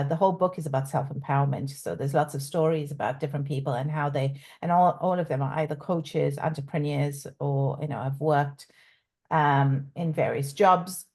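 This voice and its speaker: Low-voiced woman from South Africa. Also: a little mellow. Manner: halting, many ums and restarts, laughs often. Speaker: guest coach and author. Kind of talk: casual interview chat.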